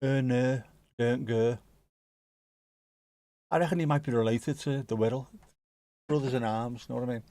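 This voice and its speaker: A man's voice: medium pitch, fairly even intonation, normal speed, pauses often, dark tone, no room sound. A man with a Scouse accent, hosting a livestream and chatting casually with viewers, joking and swearing now and then. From Liverpool area, England. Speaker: livestream host. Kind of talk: casual chat reacting to live chat.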